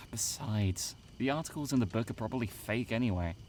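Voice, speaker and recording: 남자가 연기하는 까칠하고 무시하는 말투의 젊은 남성 상담원. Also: male voice, lowish voice, moderate pace, a little mellow, natural voice. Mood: dismissive. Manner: snide, sighing, condescending. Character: dismissive, grumpy young male camp counselor. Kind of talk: audio drama acting.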